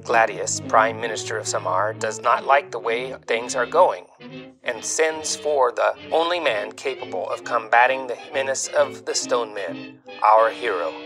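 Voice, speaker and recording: A man's voice: mid-range voice, measured pace, rough recording. A man presenting a scripted podcast review segment in a theatrical, tongue-in-cheek way, posing rhetorical questions. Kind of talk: scripted magazine-review narration. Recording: music bed under the voice. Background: guitar music.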